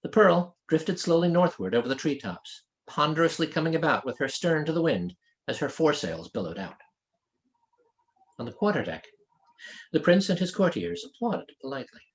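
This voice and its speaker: A man's voice: on the high side, big pitch swings, moderate pace, poor audio. A man reading his own fantasy novel aloud as scripted audiobook narration.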